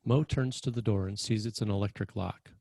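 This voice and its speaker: A man narrating scene directions for a screenplay table read, with a slightly husky voice. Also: on the low side, fairly quick, dark tone. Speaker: narrator reading stage directions.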